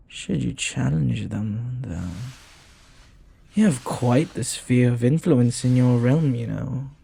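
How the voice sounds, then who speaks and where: Man playing a slow, gravelly, possessive demon lover. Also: mid-range voice, dark tone, heavily acted. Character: jealous, flirty, devoted male demon bound to a sorcerer. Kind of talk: intimate audio roleplay addressed to the listener.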